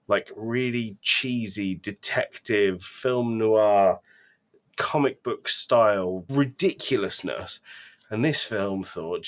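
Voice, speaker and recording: A man co-hosting a podcast, chatting casually in an emphatic, opinionated way. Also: medium pitch. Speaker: podcast co-host. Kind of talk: casual chat.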